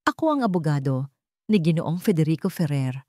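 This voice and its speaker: A woman's voice: deep voice, very animated, fairly quick, warm tone, no echo, studio quality. Woman voicing a cold, formal male lawyer.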